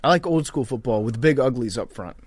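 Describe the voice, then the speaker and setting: A man's voice: medium pitch, animated, brisk, breathy edge, no echo. A man co-hosting a podcast in casual comic banter, boastful and stubborn in a mock-serious way, often swearing. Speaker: podcast co-host.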